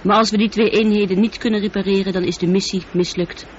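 A woman playing a calm, thoughtful female ship's computer with a precise, measured delivery and a touch of loneliness, in a radio drama. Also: medium pitch, lightly acted. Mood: composed, grave. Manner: precise, factual, composed. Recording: dull, noisy old radio drama recording.

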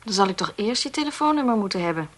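A woman's voice: medium pitch, expressive, quick pace, close to an everyday voice. An actress playing an irritated, defiant woman in a Dutch radio play, speaking in a curt, dismissive way. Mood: annoyed, curt. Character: irritated, defiant woman pestered by a phone caller.

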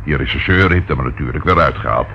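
An actor in a radio play as an eager police detective, informal, chatty and dryly humorous. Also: male voice, rumbling low, quick pace, gravelly. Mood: keen, droll. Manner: lively, informal, relishes reporting findings. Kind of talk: Dutch radio play acting. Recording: dull lo-fi old radio recording, faint noise.

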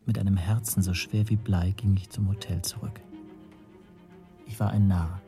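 Troubled storyteller in a radio play, lowish voice, measured and brooding, music under it. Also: male voice, flat delivery. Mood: brooding, rueful. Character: troubled, self-reproaching male narrator recounting spy intrigue. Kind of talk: scripted first-person narration in radio drama.

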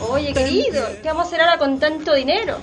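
An actress playing a frivolous, coaxing bourgeois wife in a radio drama, on a slightly dull recording. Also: female voice, sits high, fast, soft background music, natural voice.

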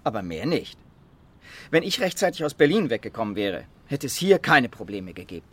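An actor playing a smug, well-off environmental activist in a radio drama, talking in condescending rhetorical flourishes. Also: male voice, mid-range voice, sing-song, a little fast, a bit bright. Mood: self-righteous. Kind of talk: naturalistic radio drama dialogue.

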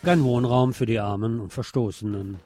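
A man's voice: mid-range voice, fairly even intonation, normal speed, over loud music. A man presenting scripted magazine news on the radio, with a wry, ironic touch in his delivery.

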